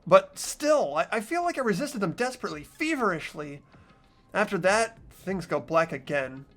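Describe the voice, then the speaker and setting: A performer voicing an anxious, bewildered high school boy, reading visual novel narration in an earnest, dramatic, hesitant way. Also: sing-song, moderate pace, a bit bright. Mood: puzzled, anxious. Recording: background music behind voice.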